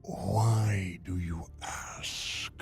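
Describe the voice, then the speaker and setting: A man playing a grave, cryptic alien advisor in an audio drama, with a halting, hushed, ominous delivery. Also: low voice, fairly even intonation, gravelly, soft background music, exaggerated voice.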